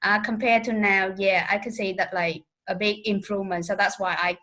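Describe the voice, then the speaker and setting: A woman's voice: mid-range voice, steady tone, moderate pace, bright, forceful tone. Hesitant woman with many fillers. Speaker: speech club member. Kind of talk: casual spoken reflection on a video call. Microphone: video call.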